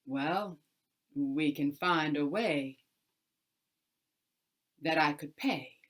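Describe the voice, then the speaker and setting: Woman as a slow-talking crafty tenant farmer. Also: deep voice, heavily acted. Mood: polite, careful.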